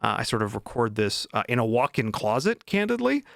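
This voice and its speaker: A man's voice: mid-range voice, sing-song, brisk, gravelly, a bit bright, no echo, clean studio sound. A man chatting casually as a vlog host, informal and enthusiastic about his writing. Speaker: vlog host and indie author.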